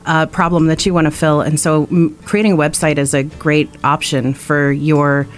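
Friendly woman with a low voice. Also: little pitch movement, normal speed. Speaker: guest, small-business web designer. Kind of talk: radio interview answers.

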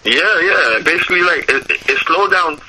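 A man's voice: a little high, lively intonation, moderate pace, bright, forceful tone, breathy edge, poor audio. A man with a Haitian accent, a musician guest talking casually in an interview, loose and full of repeated words and fillers.

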